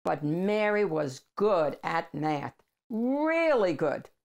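An older woman reads a picture book aloud as a host for children, with deliberate, emphatic phrasing. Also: on the low side, sing-song, creaky, darkish tone. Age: older, 60s or above. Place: small room at home with bookshelves.

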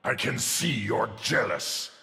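Game villain: bloodthirsty monstrous warrior, heavily gravelled growl with lively swoops, curt menace. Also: male voice, medium pitch, slow pace, heavy background noise. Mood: menacing.

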